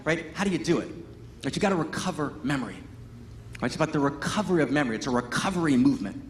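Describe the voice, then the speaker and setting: A man whose first language is Hebrew and who grew up in Massachusetts gives a Sunday sermon as a guest teacher. He tells it as a loose personal story, full of "you know" and "right?", and builds to emphatic passages. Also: high voice. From Pittsfield, Massachusetts, US. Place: large church hall. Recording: echoey church hall with faint ambience.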